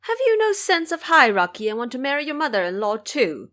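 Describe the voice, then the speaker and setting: A woman storyteller plays a sly mother-in-law in an audio drama. She exclaims, chides and coaxes, with an animated, swooping delivery. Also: high-pitched, fairly quick, pitched above the performer's own voice. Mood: chiding. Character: sly, chiding mother-in-law with three daughters.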